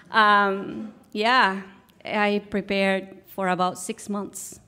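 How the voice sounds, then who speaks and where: A woman's voice: mid-range voice, a bit bright. A woman from Guatemala with a Guatemalan Spanish accent, telling a personal story on stage as a guest. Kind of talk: personal story told to a live audience. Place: live stage show.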